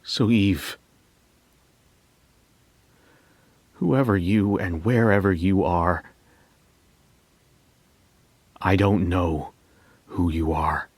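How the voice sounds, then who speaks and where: A man's voice: low voice, darkish tone, breathy edge, dry recording, exaggerated voice. An actor playing a lovelorn, wounded man who confesses his loss in a dramatic first-person audio story monologue. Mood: pleading.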